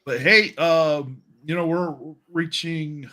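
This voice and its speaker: Male livestream host, very sharp bright tone, rambling jokey chat, halting delivery. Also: a little high, fairly even intonation. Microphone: video call stream.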